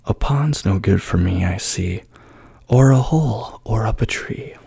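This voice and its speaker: A man gently puts on a sleepless little storybook sheep, polite and plaintive. The speech is soft and slow, close to a whisper. It has a husky, breathy edge suited to bedtime ASMR. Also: on the low side, expressive, no echo, full character voice. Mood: let down.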